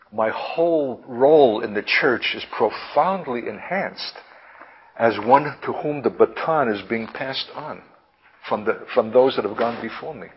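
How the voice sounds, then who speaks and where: A man preaching and teaching in a deliberate, emphatic manner with lofty wording, halting now and then to repeat himself. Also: mid-range voice, a little hiss or hum. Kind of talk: impassioned prophetic sermon, teaching. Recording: dull, lo-fi sermon recording.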